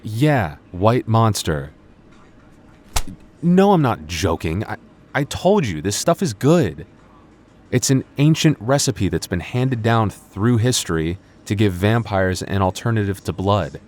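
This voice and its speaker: A man's voice: fairly low pitch, sing-song, measured pace, darkish tone. A man voicing a young male vampire store coworker in an ASMR roleplay, flustered and teasing, with hesitant, sardonic banter. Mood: defensive, earnest. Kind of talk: ASMR roleplay audio drama.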